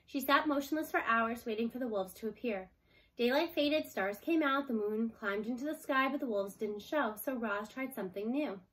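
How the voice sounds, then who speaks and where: A woman narrates a children's chapter book. Her voice sits a little high, and she reads expressively, as a storyteller would. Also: normal speed, fairly bright tone, rough recording. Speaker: storybook reader.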